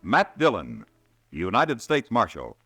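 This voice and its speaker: A man's voice: fairly low pitch, wildly swooping pitch, slow, over loud music. A man giving a dramatic, declamatory 1950s radio show opening. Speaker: announcer.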